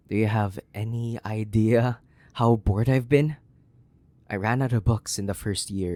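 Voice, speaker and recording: A man playing a centuries-old, sadistic vampire in a theatrical horror audio roleplay, condescending and drawling, with a gravelly, breathy voice that slips into whispers. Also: on the low side, darkish tone, no echo. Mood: exasperated, bored. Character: sadistic, centuries-old imprisoned male vampire, mocking and bored. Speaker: voice actor.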